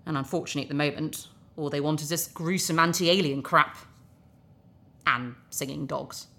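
An actress in a sci-fi audio drama playing a glib, cynical, charming TV propaganda presenter, breezy and persuasive. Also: female voice, deep voice, steady tone, normal speed, gravelly, pushed and bright, full character voice. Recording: roomy, echoing sound.

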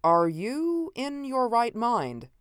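A man's voice: fairly high pitch, animated, moderate pace, smooth, dry recording, studio quality, pitched above the performer's own voice, close to an everyday voice. A man playing a formal officer of the future, authoritative and clipped. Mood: puzzled, impatient.

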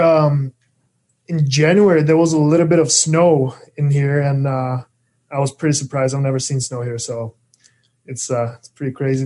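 A young man from the Czech Republic, a Czech native speaker, answering interview questions in English in a relaxed, halting way with many fillers. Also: mid-range voice. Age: young adult. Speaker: guest, pro hockey player. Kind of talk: casual interview chat.

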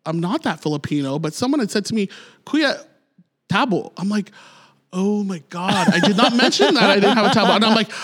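A man's voice: high-pitched, animated, fairly quick, a bit bright. A middle-aged man from Ontario, co-hosting a podcast in a playful, upbeat casual chat. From Ontario, Canada. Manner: upbeat, playful, laughs often. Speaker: podcast co-host.

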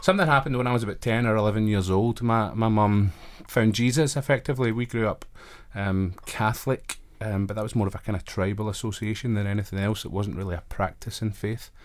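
A Scottish man from Glasgow with a strong Glaswegian accent and a fairly low voice talks about growing up. He is earnest and open, with flashes of self-deprecating humor. He was recorded in a radio studio. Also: brisk, hardly any pauses. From Scotland. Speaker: guest, college chaplain. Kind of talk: conversational interview storytelling.

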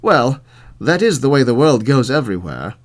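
A man's voice: mid-range voice, sing-song, moderate pace, lightly acted. A man voicing a stern, incredulous sheriff in a storybook, with an emphatic, scolding manner. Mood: curious. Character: stern, incredulous sheriff questioning foolish townsmen.

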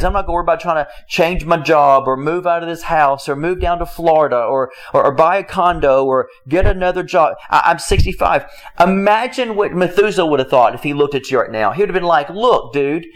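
A man preaching a motivational sermon, emphatic and repetitive, who asks rhetorical questions and acts out listeners' excuses. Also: fairly high pitch. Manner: acts out quoted listeners' excuses in other voices.

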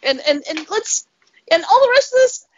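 A woman's voice: very high-pitched, animated, moderate pace, lo-fi sound. A woman co-hosting a casual podcast, rambling and amused as she recalls film trivia. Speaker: podcast co-host. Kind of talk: casual chat.

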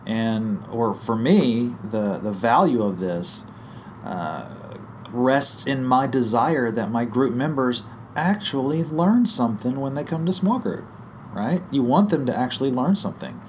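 A man with a hoarse, croaky voice from a head cold, explaining a teaching idea in a relaxed podcast chat, thinking aloud with fillers and restarts. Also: mid-range voice, unhurried, slight background noise. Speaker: guest teacher on small group ministry.

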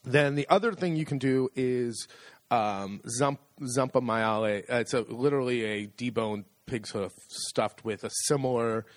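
A man's voice: medium pitch, normal speed, dry recording. Podcast co-host, man, chatty explainer, dry close mic. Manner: explains at length, with restarts and asides. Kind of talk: casual chat. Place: home in rural Italy.

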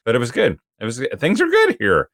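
A man's voice: mid-range voice, wildly swooping pitch, a little fast, a bit bright, dry recording, studio quality. A man co-hosting a casual podcast, telling stories with frequent laughs and playful quoted voices. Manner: chatty storyteller, laughs often, acts out quoted voices. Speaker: podcast co-host.

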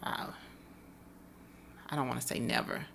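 Bright-toned woman in reflective chat. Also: a little slow, in a whisper. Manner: reflective, questioning, with restarts. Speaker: podcast co-host. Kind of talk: casual conversation.

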